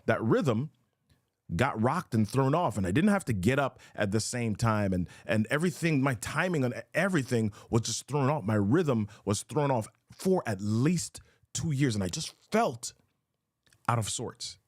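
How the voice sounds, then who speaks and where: A man's voice: medium pitch, very animated, moderate pace, dry recording, studio quality. A man hosting a motivational live show, talking emphatically and repeating his key questions. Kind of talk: motivational live-stream talk.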